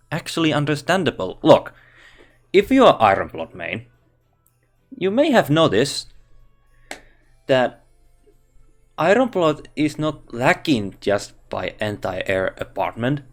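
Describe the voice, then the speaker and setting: A man with a Finnish accent speaking English as a second language, talking slowly and haltingly as he reviews a game. He often restarts his sentences and thinks aloud, with faint music playing behind him. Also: mid-range voice, sing-song. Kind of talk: casual game commentary.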